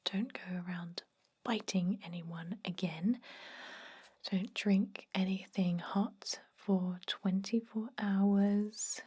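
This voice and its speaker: Woman playing a calm vampire dentist, whispered ASMR, slow, mellow, soft-spoken and instructive. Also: medium pitch, slightly rough. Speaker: ASMR roleplay artist.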